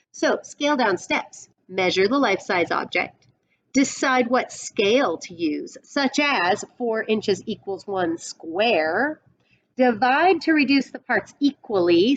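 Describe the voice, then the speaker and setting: A middle-aged woman teacher reading a storybook aloud with explanatory asides, expressive and deliberate, slightly dull webcam sound. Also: mid-range voice. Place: indoors, classroom-like room.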